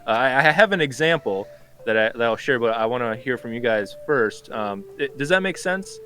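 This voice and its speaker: A man's voice: mid-range voice, expressive, normal speed, pushed and bright, soft background music. A man asking questions as a guest on a podcast, friendly and upbeat. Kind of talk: casual podcast discussion.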